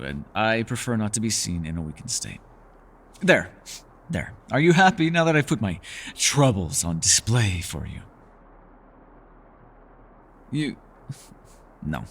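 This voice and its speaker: Male actor as ancient hermit elf scholar: low, very expressive, formal, gently teasing, secretive; intimate fantasy roleplay. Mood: reluctant, grateful. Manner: measured, formal phrasing, hesitant and softly teasing. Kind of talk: fantasy ASMR roleplay acting, intimate one-sided dialogue.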